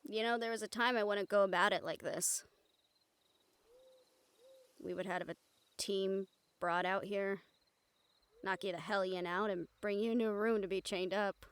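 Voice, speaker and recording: A woman playing a wry, gentle recruiter of supernatural outcasts in an intimate audio roleplay, teasing and earnest in manner. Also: medium pitch, fairly even intonation, normal speed, pauses often, clean tone, lightly acted. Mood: wry, calm.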